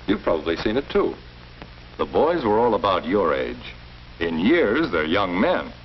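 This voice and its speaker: An actor playing a calm, firm middle-aged school principal in a 1940s educational film, speaking formally and in an avuncular, measured way. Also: male voice, deep voice. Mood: calm, earnest. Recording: muffled, noisy old 1940s film soundtrack.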